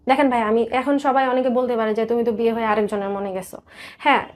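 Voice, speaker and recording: Higher-pitched Bangladeshi woman, hesitant. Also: level tone, fast talker, darkish tone. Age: 30s. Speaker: guest telling life story. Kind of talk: personal confession in interview.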